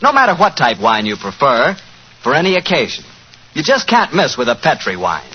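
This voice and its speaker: An American man hosting a 1940s radio show as announcer, with a folksy, smooth sales delivery. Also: mid-range voice, wildly swooping pitch, normal speed. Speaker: announcer and host. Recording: muffled, noisy 1940s radio recording.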